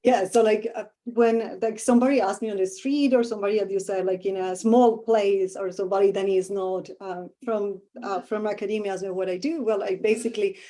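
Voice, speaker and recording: A woman's voice: medium pitch, steady tone, fairly quick, few pauses, dark tone. A middle-aged woman with a Colombian Spanish accent, a professor answering interview questions thoughtfully and searching for words with many fillers.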